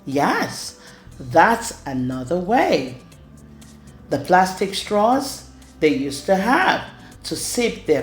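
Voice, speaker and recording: A woman reading a rhyming children's storybook aloud in an expressive way. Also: lowish voice. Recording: roomy echo with music underneath. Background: music.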